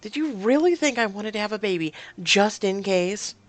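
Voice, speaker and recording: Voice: mid-range voice, lively intonation, moderate pace, vocal fry, a bit bright, no echo, voice pushed up. A narrator voicing a furious, hurt young woman, indignant and emphatic, in an audio drama. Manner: indignant, emphatic, emotionally volatile.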